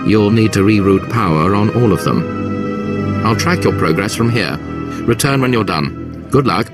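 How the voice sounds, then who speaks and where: A man's voice: on the low side, expressive, measured pace, over loud music. A man playing a formal, respectful Imperial military commander in a video game cutscene. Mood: businesslike.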